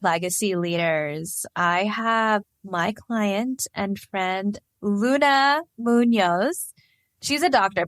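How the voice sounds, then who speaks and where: A woman hosting a podcast interview, talking in an energetic, chatty way with quick asides. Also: medium pitch, dry recording, clean studio sound. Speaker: podcast host and career coach.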